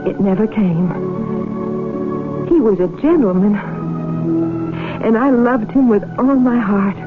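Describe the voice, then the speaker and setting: An actress playing a weary, guarded young waitress and farm wife in a wistful 1970s radio drama. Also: female voice, fairly high pitch, takes it slowly. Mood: wistful, confessional. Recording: tinny 1970s radio broadcast, music behind.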